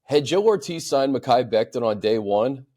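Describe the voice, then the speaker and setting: A man hosting a sports show in a conversational style, halting and repeating words as he thinks. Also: mid-range voice, expressive, warm tone.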